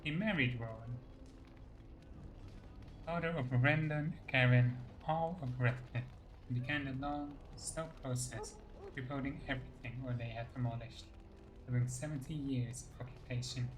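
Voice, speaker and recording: A man's voice: medium pitch, moderate pace, slight background noise, rough recording. A man reading fantasy game dialogue choices and lore entries aloud as scripted narration. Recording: faint game music behind the voice.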